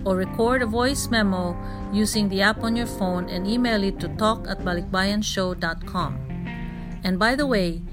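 A woman's voice: medium pitch, moderate pace. An older woman reading out a promo announcement, carefully spelling out a phone number. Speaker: host's mother reading a promo. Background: guitar music.

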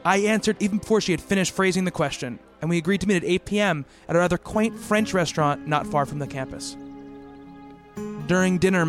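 A man acting in a radio play as an earnest psychiatrist who can be awkward and halting. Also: on the high side, lightly acted. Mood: wistful, reflective. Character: earnest male psychiatrist, haunted narrator and shy awkward suitor.

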